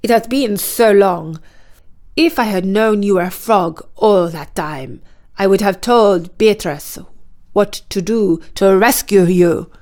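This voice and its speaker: A woman's voice: mid-range voice, takes it slowly, darkish tone, close to an everyday voice. Woman doing an amused old Russian witch aunt. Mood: reassuring.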